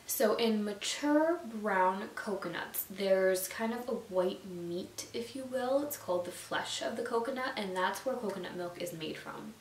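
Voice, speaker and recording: A woman's voice: medium pitch, measured pace, few pauses. A young woman with a slightly nasal, stuffy voice, chatting casually to camera about nutrition, full of "like" and "you know.". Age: young adult. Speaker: YouTube nutrition vlogger. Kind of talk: casual explainer talking to camera. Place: indoors at home, seated on a sofa. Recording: roomy echo, distant mic, faint hiss.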